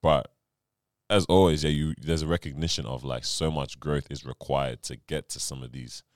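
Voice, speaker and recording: A man's voice: rumbling low, steady tone, moderate pace, no echo, clean studio sound. A man co-hosting a podcast, talking casually in slangy, conversational phrases and circling back over his thoughts. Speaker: podcast co-host. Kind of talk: casual chat.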